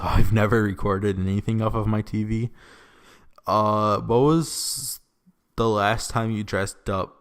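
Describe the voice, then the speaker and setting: A young man with a Midwestern accent answering questions in a relaxed, rambling vlog, with lots of ums and likes, in an echoey room. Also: on the low side. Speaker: YouTuber. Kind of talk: casual Q&A vlog.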